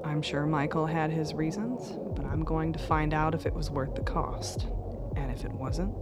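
A woman's voice: low voice, moderate pace, loud music behind the voice, natural voice. An actress playing a tough, skeptical young woman on the run in a radio drama, with a blunt, sardonic delivery. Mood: resolute. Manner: blunt, sardonic, foul-mouthed.

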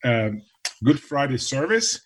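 Man, priest from Brazil, mid pitch, self-deprecating, quick bursts of speech. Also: very animated, fairly bright tone, poor audio. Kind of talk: casual conversation.

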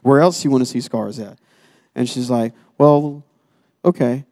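A man's voice: mid-range voice, fairly quick, darkish tone. A man giving a sermon as personal testimony in an earnest, exhorting manner. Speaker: guest preacher giving a testimony.

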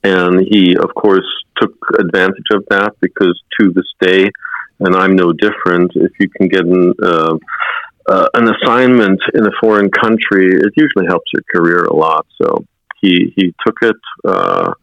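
Deep, gravelly man of sixty reminiscing. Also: fairly even intonation, moderate pace, fairly bright tone, no echo, muffled recording. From born in United States, moved to Germany as a child. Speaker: interview guest. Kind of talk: anecdotal reminiscing in conversation.

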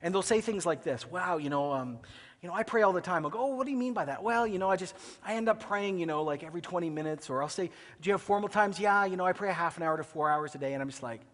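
A fairly high-voiced man preaching in a large auditorium, his words trailing into a long hall reverb. He grew up in Ecuador and talks to the congregation in a lively, conversational way, slipping into quick acted asides. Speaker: pastor. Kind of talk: sermon.

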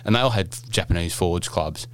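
A middle-aged man hosts a relaxed podcast chat in a low, deep voice. He rambles, jokes and restarts his sentences often, speaking in a loose, conversational way. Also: fairly quick, a little hiss or hum. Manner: chatty, rambling, jokey, many fillers and restarts. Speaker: podcast host. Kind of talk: casual podcast interview.

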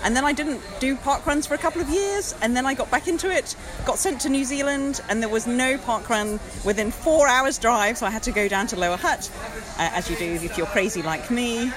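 A woman with an English accent, chatting excitedly in an outdoor interview at a park event. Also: on the high side, brisk, crowd noise, low-quality recording. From England. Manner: bubbly, enthusiastic. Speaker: interviewed parkrunner.

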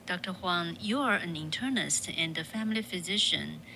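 A woman, hosting and narrating a political segment in a measured way, with frequent fillers. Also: lowish voice, gravelly, background noise. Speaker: interviewer and host. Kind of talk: scripted news commentary.

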